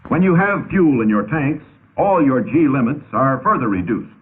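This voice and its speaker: An American man narrating a 1950s training film in a measured, authoritative, instructive manner, on an old film soundtrack. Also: medium pitch, sing-song, phone-quality sound. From United States.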